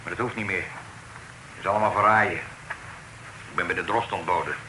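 A sombre, terse man in a vintage radio play, voiced by an actor. His voice is low and subdued, with a wary manner. The recording is old and clearly noisy. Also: fairly quick, natural voice.

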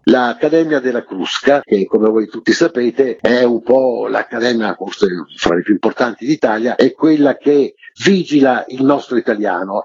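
A man's voice: mid-range voice, darkish tone, slightly rough, no room sound. A man being interviewed as a guest artist, explaining his work with enthusiastic emphasis. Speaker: guest artist and exhibition curator. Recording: dull, lo-fi radio interview sound.

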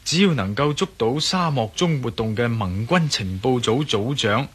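An actor playing a confident, determined adventurer hero in a Cantonese radio drama, breezy and assured, with a Hong Kong Cantonese accent. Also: male voice, medium pitch, lively intonation, moderate pace, fairly bright tone, breathy edge.

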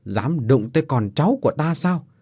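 A man voicing an ancient serpent spirit who speaks slowly and gravely. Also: medium pitch, expressive, warm tone, dry recording, studio quality. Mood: solemn. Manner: slow, solemn, grave.

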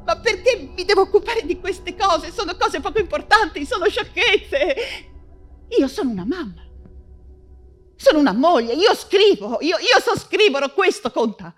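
An actress playing an anguished, feverish persecuted writer and mother in an intense theatrical radio monologue, halting and repeating her words. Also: very high voice, very animated, fairly bright tone, soft background music, full character voice. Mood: pleading, defensive. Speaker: stage actress.

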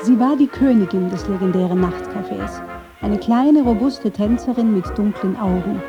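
A woman's voice: medium pitch, moderate pace, dull audio, over music. A woman reading scripted narration for a radio documentary.

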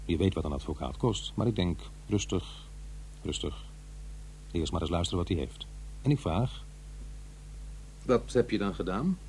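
An actor playing an uneasy, defensive middle-aged divorced father in a radio drama, confiding in a halting, conversational way. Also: sits low, lively intonation, warm tone. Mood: guarded, questioning. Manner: halting, hesitant, self-interrupting. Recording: faint noise and hum.

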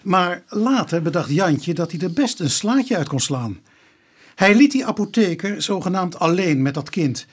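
An actor playing a matter-of-fact police investigator in a radio drama, explaining. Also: male voice, high voice, lively intonation, moderate pace, husky.

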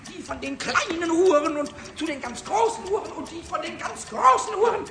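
A man voicing a cheeky, hot-tempered, childlike little kobold in a children's radio play, squeaky, stuttering and repeating words. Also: normal speed, music in the background. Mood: gleeful scheming. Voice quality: shrill, squeaky. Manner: stuttering excited outbursts, repeats words, cackles. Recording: noisy old radio play recording.

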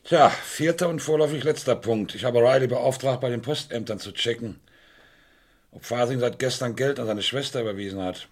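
Actor as husky, probing police inspector. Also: male voice, medium pitch, lightly acted. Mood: matter-of-fact. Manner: matter-of-fact, deliberate, probing. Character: sceptical, methodical police inspector, firm and probing. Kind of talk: German radio crime play acting.

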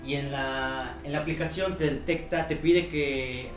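A man's voice: mid-range voice, fairly even intonation, measured pace, reverberant, faint music behind, low-quality recording. A man with a Mexican Spanish accent, chatting casually on a podcast and explaining things step by step. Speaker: podcast host. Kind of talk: casual chat.

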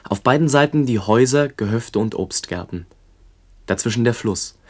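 A man narrating in a radio play as a sober chronicler of a remembered village, measured and descriptive. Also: mid-range voice, lively intonation, lightly acted. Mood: sober, evocative.

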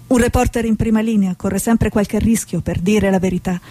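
An actress playing an earnest, idealistic female war reporter, speaking in a measured, reflective, theatrical way. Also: medium pitch, steady tone, warm tone, natural voice. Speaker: stage actor. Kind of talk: stage drama, dialogue and reflective monologue.

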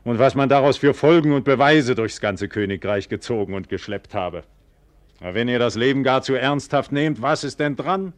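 A man's voice: medium pitch, animated, moderate pace, dry recording, lightly acted. An actor playing a proud, confident, carefree nobleman in a theatrical, declamatory 1940s radio play style. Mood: light, carefree. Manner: declamatory, self-assured. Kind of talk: 1940s German radio play acting, theatrical stage diction.

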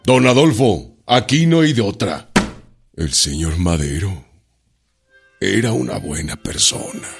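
An actor playing a rough, folksy Mexican revolutionary leader with a northern Mexican accent, plainspoken and defiant, in a radio drama. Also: male voice, on the low side, a bit bright, heavily acted. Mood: resolute, regretful. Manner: colloquial, earthy, swaggering. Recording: studio radio drama with music behind.

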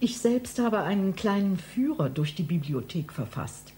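An actress playing a self-important, touchy library clerk in a satirical radio play, speaking in a pedantic, boastful and wordy way. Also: female voice, medium pitch, big pitch swings, normal speed, a little mellow, close to an everyday voice. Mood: self-important, officious.

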